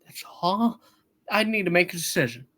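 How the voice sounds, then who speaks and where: A woman's voice: on the low side, expressive, normal speed, fairly bright tone. A woman playing a distraught, desperate family man in a hammed-up radio play reading. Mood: anxious pleading.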